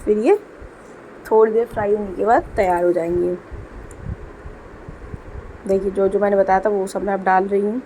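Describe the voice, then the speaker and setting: A woman's voice: medium pitch, little pitch movement, normal speed, pauses often, dark tone, slight background noise, rough recording. A young woman, a homemaker, narrating her kitchen routine in a chatty, explaining way, with a sore throat and a cough. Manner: chatty, explains as she works. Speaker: homemaker vlogger. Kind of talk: casual voice-over narration. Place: home kitchen.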